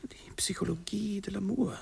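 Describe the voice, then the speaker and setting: An actor playing a nervous, sensitive young gentleman in love in a 1960s German radio drama, halting, stammering and self-pitying. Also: high voice, steady tone, vocal fry, slightly rough, exaggerated voice. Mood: agitated, embarrassed.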